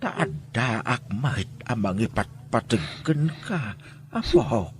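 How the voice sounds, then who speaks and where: A man playing a gentle, protective old grandfather in an Ilocano radio drama, speaking tenderly and emotively. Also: mid-range voice, huge pitch swings, slow, slight background noise, exaggerated voice. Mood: consoling, sorrowful.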